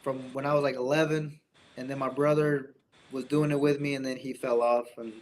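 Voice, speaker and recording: A man's voice: mid-range voice, level tone, a little fast, a little hiss or hum. A young man raised in Indiana with an American accent, talking casually as an interview guest and acting out bits of dialogue as he tells stories. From born in Mexico, raised in Indiana, United States.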